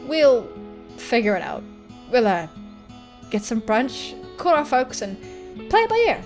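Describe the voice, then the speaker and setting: A woman voicing an anxious but earnest young punk woman with a slightly raspy, wispy delivery in a visual novel read-through. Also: on the high side, very animated, a little slow, soft background music. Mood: nervous, earnest. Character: anxious, rough-edged punk young woman, fretting over her friend.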